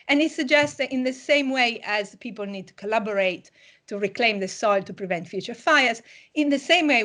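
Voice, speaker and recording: A woman's voice: on the high side, fairly bright tone. Italian-born woman giving a lecture. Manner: measured explanatory delivery with occasional fillers. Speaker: university lecturer. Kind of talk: online public lecture.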